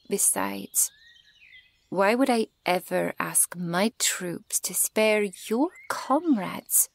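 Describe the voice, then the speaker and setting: Stern, creaky-voiced elven archer woman, played by an actress. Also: mid-range voice, lively intonation, exaggerated voice. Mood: scornful. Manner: measured, dramatic, accusing. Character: centuries-old elven archer woman, stern, bitter toward humans. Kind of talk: ASMR fantasy roleplay audio acting.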